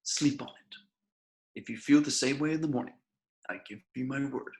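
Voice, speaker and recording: A man's voice: mid-range voice, normal speed, darkish tone, slight background noise, natural voice. A man reading a genial, patronizing police chief in a table read over a video call. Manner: soothing, patronizing.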